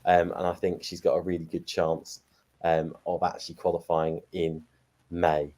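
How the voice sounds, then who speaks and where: A man's voice: low voice, monotone, normal speed, a little mellow. A man hosting a fan panel, chatty and repeating himself as he hands questions to the others. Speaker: panel host. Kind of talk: casual panel chat.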